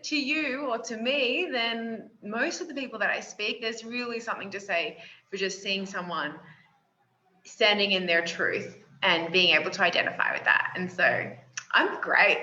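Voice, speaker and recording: Bright woman in her twenties. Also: fairly high pitch. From Australia. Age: 20s. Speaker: guest, yoga teacher and confidence coach. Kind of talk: interview conversation. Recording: roomy, dull sound.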